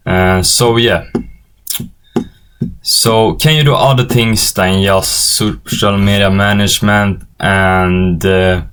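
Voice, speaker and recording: Teenage male tutorial presenter, native Swedish speaker with Swedish accent, lowish pitch, informal and hesitant delivery. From Sweden. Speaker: online business course instructor.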